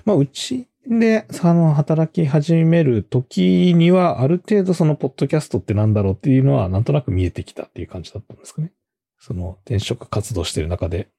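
A male interviewer talking casually yet politely as he hosts. His voice is soft-edged and dark with a husky grain, and his intonation swings freely as he puts his questions. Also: a little high, expressive, a little fast. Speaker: podcast host, interviewer.

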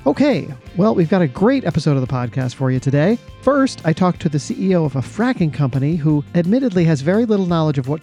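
An earnest, upbeat man hosting a comedy interview podcast, his voice warm and slightly high for a man. A faint music bed sits under him in the studio. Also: gravelly. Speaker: podcast host. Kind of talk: improvised satire podcast hosting.

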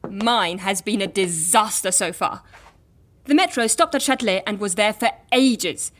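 A woman's voice: medium pitch, moderate pace, lightly acted. An actress playing a flustered, put-upon woman with an exasperated, complaining manner, in an audio drama.

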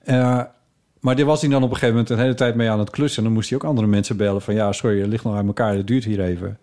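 A man's voice: fairly low pitch, steady tone, racing, mellow. A man with a Netherlandic Dutch accent, chatting casually as a podcast co-host. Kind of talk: casual chat.

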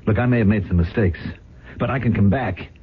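A man's voice: on the low side, fairly quick, natural voice. An actor playing a weak, anxious crooked businessman in a 1970s radio drama, defensive and agitated. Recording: noisy, phone-like old radio recording.

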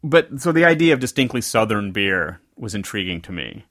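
A man's voice: on the low side, sing-song, fairly quick, fairly bright tone, no echo, clean studio sound. A man from the American South, co-hosting a casual podcast and telling a rambling story that often circles back to correct itself. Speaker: podcast co-host. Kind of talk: casual conversational podcast chat.

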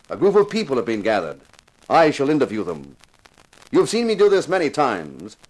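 Male actor as intense TV detective, 1950s radio drama style, deliberate, theatrical, mid-pitched, swooping delivery. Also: lightly acted, poor audio. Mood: solemn, declamatory. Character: intense television-detective actor, grave narrator turned relentless questioner. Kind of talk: 1950s radio drama acting, first-person crime narration.

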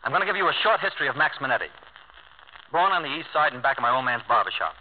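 A man's voice: medium pitch, sing-song, very fast, a little hiss or hum, natural voice. An actor playing a brash, blunt, self-assured young male lawyer in 1950s radio drama style, curt, tough and wisecracking. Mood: cocky, forceful. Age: young adult. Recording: narrow-band 1950 radio broadcast.

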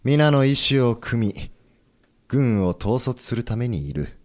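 A man acting an earnest, humble, idealistic leader of a rebel government in a historical audio drama, speaking in a measured, sincere, formal way. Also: medium pitch, big pitch swings, darkish tone. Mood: earnest resolve.

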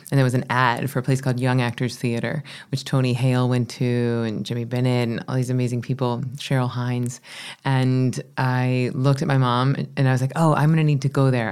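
Chatty woman with a smooth low voice. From Florida. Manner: animated, chatty, self-deprecating. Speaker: meditation teacher, guest. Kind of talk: casual interview storytelling.

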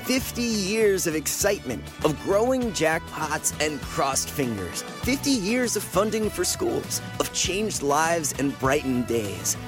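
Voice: medium pitch, big pitch swings, slow pace, few pauses, music in the background. An announcer reading a celebratory scripted commercial. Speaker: advert announcer.

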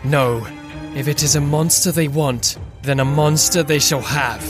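Woman as brave romantic hero, male, devoted, solemn, tender, lowered pitch, gothic audio story, faint music. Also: measured pace. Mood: resolute.

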